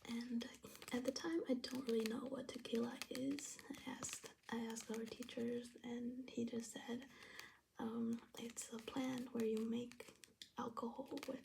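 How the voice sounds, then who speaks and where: A young woman from China, whispering softly as she flips through her travel journal, with many ums and restarts. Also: fairly high pitch, level tone, a little slow, gravelly, noisy background. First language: Chinese. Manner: soft whisper with fillers and restarts.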